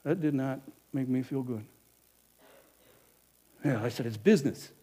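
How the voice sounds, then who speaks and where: A man acting out a middle-aged innkeeper in a dramatic first-person monologue, emphatic and animated, swinging between loud and hushed. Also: medium pitch, unhurried, slightly rough. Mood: guilty. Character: middle-aged male innkeeper, money-minded and guilt-ridden. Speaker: actor.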